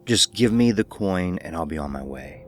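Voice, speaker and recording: A man reading a terse, deep-voiced thief. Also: animated, normal speed, soft background music. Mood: tense. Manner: terse, clipped. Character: tense young male thief, blunt and guarded.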